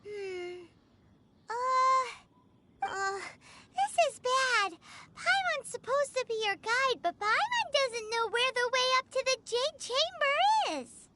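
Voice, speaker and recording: A woman voicing a small, cheerful, excitable childlike fairy guide who talks about herself in the third person in a video game. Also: extremely high pitch, slow pace, a little hiss or hum, heavily acted. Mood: worried, pondering.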